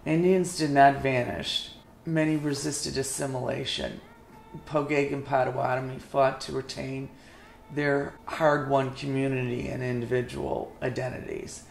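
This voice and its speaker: A woman's voice: a bass voice, steady tone, measured pace, creaky, darkish tone. A woman reading a book passage aloud as a guest reader. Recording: echoey room, faint noise.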